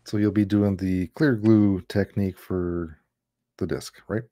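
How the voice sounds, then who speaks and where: A man's voice: sits low, fairly even intonation, measured pace, warm tone. A man co-hosting a live stream in a casual, chatty way. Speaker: co-host. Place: indoors on a video call. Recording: clear background noise, clicks.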